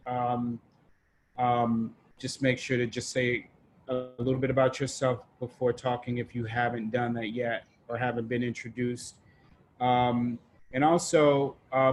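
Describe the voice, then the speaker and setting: A man facilitating an online group discussion in a halting, informal, casual way. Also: mid-range voice. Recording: noticeable background noise on online call.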